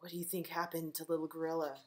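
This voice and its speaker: A middle-aged woman reading a picture book aloud to young children, gentle and expressive, as a librarian at home. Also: deep voice, quick pace. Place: living room at home, indoors.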